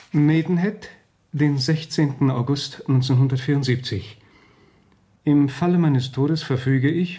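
An actor in a radio drama playing a sober, polite lawyer who speaks in a measured, matter-of-fact way. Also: male voice, mid-range voice, dark tone, lightly acted. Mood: courteous, formal. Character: formal, sober male lawyer and executor.

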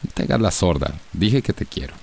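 An actor playing an earnest, reserved man in love in a radio soap, halting, with a Central American Spanish accent. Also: low voice, lively intonation, gravelly, a little mellow, slight background noise. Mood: flustered, tender. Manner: halting, hesitant. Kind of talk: radio soap opera acting.

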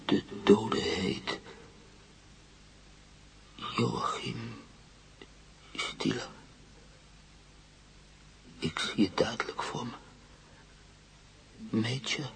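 An actor playing a brooding bachelor narrator in an intimate radio drama, halting and confiding, often dropping to a murmur. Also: male voice, fairly low pitch, gravelly, fairly bright tone, heavily acted. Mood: entranced, halting. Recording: noisy radio play recording.

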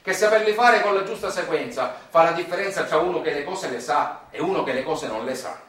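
A man's voice: a little high, a little fast, a bit bright. A man with a Sicilian accent delivers a theatrical monologue as a stage storyteller, in a folksy way with dialect words. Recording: very echoey room, distant mic.

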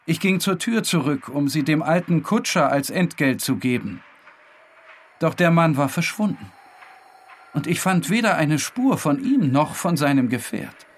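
A man's voice: a little high, a little hiss or hum. A man narrating a literary story in a dramatic scripted style. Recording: music behind the voice.

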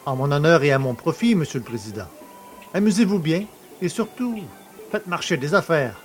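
Man acting a boastful, jovial poacher, lightly high voice. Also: lively intonation, normal speed, faint music behind, lightly acted. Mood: jovial, magnanimous. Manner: chummy, cheeky, colloquial. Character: jovial, boastful, familiar rural poacher just freed. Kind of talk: French audio drama acting.

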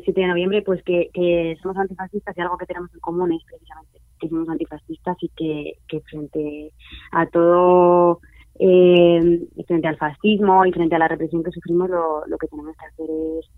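A young man with a Spain Spanish accent speaks over a tinny, phone-like line. His voice sits high and dry. He talks earnestly, pausing on fillers and restarting his phrases. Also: level tone, dry recording. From Alcorcón, Spain. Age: young adult. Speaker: guest, member of a youth collective. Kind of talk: casual radio chat.

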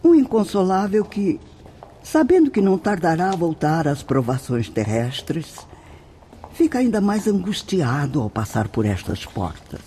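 A venerable, devout Brazilian nun teaches in a radio drama. Her delivery is solemn and declamatory, with wide swings of pitch. The tone is soft and mellow, over clear background noise. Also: big pitch swings, measured pace. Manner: solemn, declamatory, tenderly instructive. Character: venerable, devout nun guiding spirits in the afterlife.

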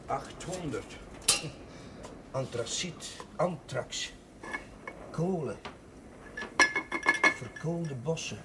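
A man playing a warm, talkative and idealistic young village chaplain in a television drama, hesitant and musing but easily worked up. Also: mid-range voice. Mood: musing, awed. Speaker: actor in a television drama series. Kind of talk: naturalistic television drama dialogue. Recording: noisy old TV soundtrack, clattering dishes.

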